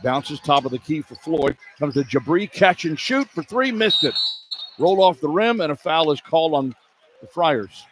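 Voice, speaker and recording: A man's voice: fairly high pitch, expressive, moderate pace. An American man doing live radio play-by-play of a basketball game, speaking in clipped running phrases that follow the action. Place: courtside in an indoor arena. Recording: clear background noise, live arena broadcast.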